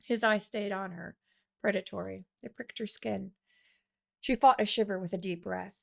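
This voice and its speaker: Lady reading her novel out loud, expressive storytelling, lots of vocal fry. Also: mid-range voice, normal speed. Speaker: author reading own audiobook. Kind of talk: expressive scripted narration of fiction.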